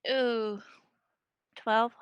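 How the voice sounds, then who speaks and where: A woman's voice: on the high side, steady tone, extremely slow, smooth, no echo. A woman chatting casually as a player in an online tabletop role-playing game. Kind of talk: casual online gaming chat.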